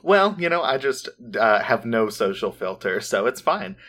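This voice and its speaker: A man's voice: medium pitch, sing-song, moderate pace, a bit bright. A man with a General American accent, co-hosting a podcast in casual, rambling chat, swearing freely. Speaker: podcast co-host.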